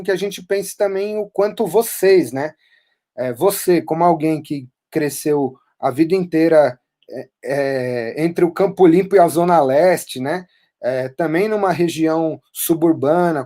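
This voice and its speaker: A man's voice: on the high side, dark tone. A man speaking in an online panel debate, thoughtful and hesitant, with many fillers and slang tags. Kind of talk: casual reflective debate talk.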